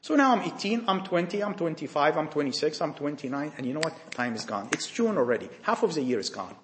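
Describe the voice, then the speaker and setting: Impassioned preacher with high lively voice. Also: male voice, fast talker, slight background noise. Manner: emphatic, repetitive, rhetorical questions, dramatic drops in volume. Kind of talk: sermon to young people. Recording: echoey room.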